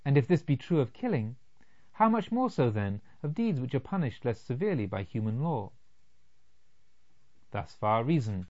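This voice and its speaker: A slightly muffled, lo-fi recording of a male audiobook narrator. His medium-pitched voice moves with lively, expressive intonation. He reads formal literary prose in a measured, explanatory manner.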